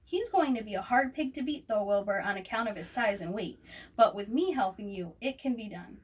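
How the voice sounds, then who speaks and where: A woman voicing a polite, wry female spider in a storybook, courteous and measured. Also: medium pitch, expressive, husky. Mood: wry, frank. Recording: distant microphone, noisy room ambience.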